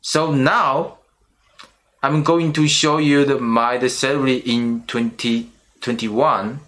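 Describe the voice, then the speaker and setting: Slow-speaking middle-aged man, Chinese accent. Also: mid-range voice, steady tone, a bit bright. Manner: slow and careful, with restarts on small words. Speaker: channel host. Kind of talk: personal vlog monologue.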